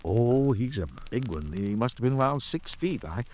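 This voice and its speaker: A man's voice: medium pitch, lively intonation, normal speed, darkish tone, natural voice. Man playing a rambling, flustered older shopkeeper, swooping delivery. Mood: earnest recall. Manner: rambling, hesitant, repeats himself. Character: flustered, talkative older male robbed shopkeeper. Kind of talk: 1950s radio drama acting.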